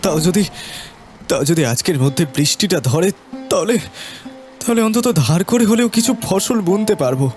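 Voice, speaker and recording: A man voicing a worried, gentle village farmer in a cartoon, hesitant. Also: high voice, lively intonation, moderate pace, faint music behind. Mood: despairing. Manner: anxious, hesitant, repeating words. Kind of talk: cartoon voice acting.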